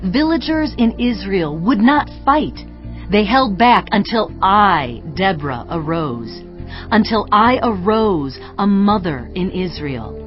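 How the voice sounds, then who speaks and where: A prophetess and leader, solemn and exhorting. She declaims scripture at a medium pitch with real authority, over music in a slightly dull recording. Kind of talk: dramatized audio Bible acting, declamatory.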